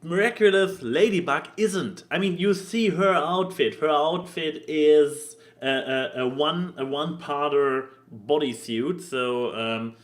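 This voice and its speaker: A man co-hosting a podcast, talking casually and opinionatedly about cartoons in a halting way, repeating words as he makes his point. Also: fairly high pitch. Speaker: podcast co-host.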